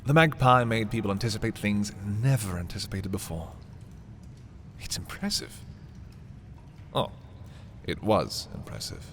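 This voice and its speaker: A haughty prince from a dark fantasy ASMR roleplay, acted by a man, speaks low and very slowly to his captive. He is theatrical and condescending, menacing in his deliberate pauses. Noticeable background noise sits behind the voice. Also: lively intonation. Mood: mocking admiration.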